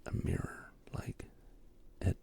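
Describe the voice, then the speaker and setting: A man hosting a casual, rambling show-and-tell in a soft, gravelly ASMR whisper, with slangy asides. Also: takes it slowly. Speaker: host.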